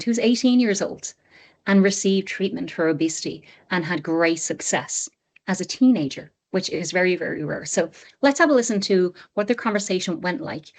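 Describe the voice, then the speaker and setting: A woman's voice: fairly low pitch, rough recording. A woman host introducing a recorded conversation with enthusiastic praise for the guests. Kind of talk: introducing a segment.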